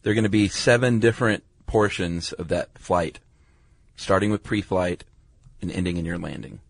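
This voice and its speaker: Low-voiced American man, casual podcaster. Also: normal speed, no room sound. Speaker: podcast co-host. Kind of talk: casual conversational chat.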